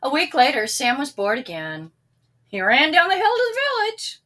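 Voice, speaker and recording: A woman reading a children's story aloud expressively, pausing now and then to address young listeners. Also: high voice, huge pitch swings, bright, forceful tone. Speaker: storybook reader.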